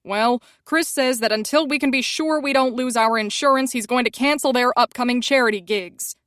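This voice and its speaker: A woman's voice: on the high side, moderate pace, fairly bright tone, clear, resonant tone, dry recording, clean studio sound, lightly acted. A woman voicing a panicky male publicist with a grating whine and a frantic, accusing way of talking. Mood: fretful.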